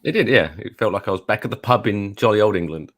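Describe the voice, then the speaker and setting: A man's voice: fairly low pitch, fast. A young man co-hosting a casual sports watchalong podcast, chipping in with dry, wry remarks. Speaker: podcast co-host.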